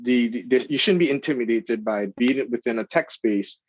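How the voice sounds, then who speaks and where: A man with a Trinidadian accent, a guest in an interview, speaking in an earnest, measured way with fillers. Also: mid-range voice, no room sound, lo-fi sound. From Trinidad and Tobago. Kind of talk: casual interview chat.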